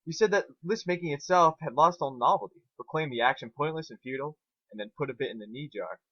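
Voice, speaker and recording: A man's voice: a little high, fast, bright, forceful tone, no room sound, dull audio. Man reading a put-upon little dragon sidekick, dry sound.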